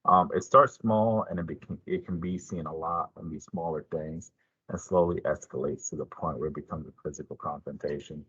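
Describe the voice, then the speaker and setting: Guy cop giving an info talk, low raspy voice, plain n informal, kinda muffled audio. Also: steady tone, brisk, fairly bright tone, dry recording. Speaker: police detective presenting. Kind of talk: informational presentation.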